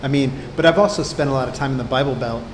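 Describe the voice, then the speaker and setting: A man interviewing a musician in a relaxed, casual way, nodding along with short agreements. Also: mid-range voice, rapid-fire. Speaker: interviewer for an art magazine. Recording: reverberant room with faint background noise.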